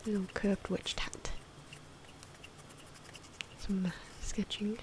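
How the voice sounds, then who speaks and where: A soft-spoken woman giving ASMR drawing commentary, often slipping into a breathy whisper, with hesitant, unhurried phrasing. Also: medium pitch, level tone. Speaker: ASMR video creator narrating own drawing. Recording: noisy, pencil scratching and ambient sound. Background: pencil and pen noises, outdoor wind.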